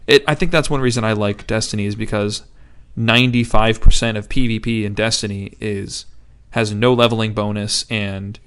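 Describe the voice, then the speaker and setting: A man's voice: lowish voice, steady tone, normal speed, hardly any pauses, fairly bright tone, clear, resonant tone. A man on a gaming podcast explaining his views in an easygoing, casual chat. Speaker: podcast co-host.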